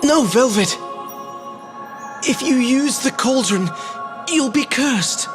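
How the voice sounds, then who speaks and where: A gallant young prince speaks slowly over clear music. He sounds earnest and concerned, in dramatic fantasy game style. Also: very high-pitched, animated, full character voice. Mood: pleading.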